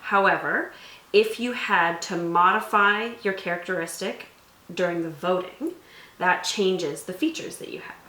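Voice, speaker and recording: A woman's voice: on the low side, unhurried, sharp tone. A woman hosting a tabletop roleplaying show, talking in a casual, explanatory way. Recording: roomy echo, faint background noise.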